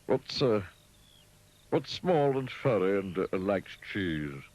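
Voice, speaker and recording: A man doing a slow, haughty storybook elephant. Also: lowish voice, big pitch swings, a little mellow, exaggerated voice. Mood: condescending. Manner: slow, condescending. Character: haughty, sniggering male elephant.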